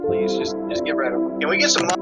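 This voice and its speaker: A man chatting casually as a livestreamer, halting and repeating himself as he goes. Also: a little high, very animated. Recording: loud music over the voice.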